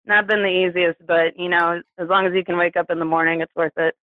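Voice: medium pitch, level tone, quick pace, no room sound. Phone-like recording of an American in their thirties. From New Mexico, United States. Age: 30s. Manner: hesitant, chuckles through hard subjects. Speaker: interview guest, poet. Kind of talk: candid personal storytelling in an interview. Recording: tinny phone-like radio sound.

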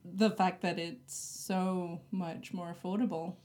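A woman's voice: on the low side, steady tone, takes it slowly, a crowd in the background. A young woman guesting on a casual podcast, easygoing and laughing along with the host's jokes.

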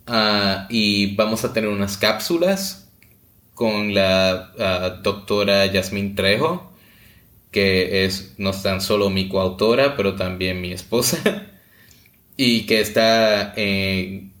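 A low-voiced man co-hosts a podcast, his talk loose and full of hesitations and restarts. The sound has noticeable room echo around it. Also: a bit bright. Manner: halting, many fillers and restarts. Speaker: podcast co-host. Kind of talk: casual podcast chat.

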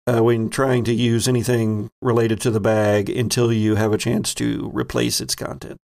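A man with an average-pitched voice that often drops into vocal fry. He works as game master, making up the narration as he goes. His phrasing is deliberate and halting. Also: little pitch movement, darkish tone. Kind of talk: improvised narration of a tabletop roleplaying game.

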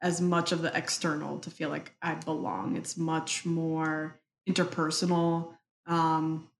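A woman's voice: deep voice, little pitch movement, vocal fry, slight background noise. Reflective woman with a roomy echo. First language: Spanish. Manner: thoughtful, halting, many fillers. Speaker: interview guest. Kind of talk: reflective personal interview. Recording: echoey room, faint ambience.

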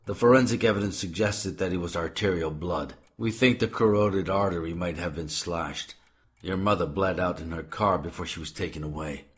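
A very deep-voiced police detective, acted by a man in a radio drama. He is sombre and formal, picking his words with hesitant care. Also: a little fast, lightly acted. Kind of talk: audio drama acting.

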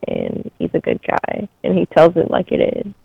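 A woman's voice: on the low side, wildly swooping pitch, normal speed, creaky, husky, no echo, narrow, tinny audio. A woman in her twenties in a casual podcast chat, playful and teasing, swearing freely. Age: 20s.

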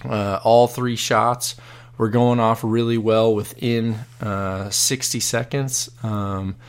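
Brief: hoarse, fairly low-voiced male podcast host, loose rambling chat full of fillers. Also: fairly even intonation, slow pace. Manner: rambling, many fillers and restarts. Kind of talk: casual live podcast chat.

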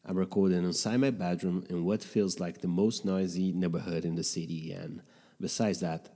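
Deep, husky man narrating a podcast. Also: fairly even intonation, moderate pace, mellow, long reverb tail. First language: Portuguese. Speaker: podcast host. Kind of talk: scripted narration. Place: bedroom in a noisy neighborhood.

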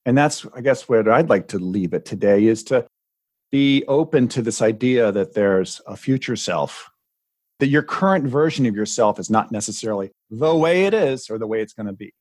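Male podcast co-host, husky mid-range voice, reflective, dry booth sound. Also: medium pitch, expressive, moderate pace, darkish tone, no room sound. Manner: reflective, repeats words when thinking, laughs often. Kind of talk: casual chat.

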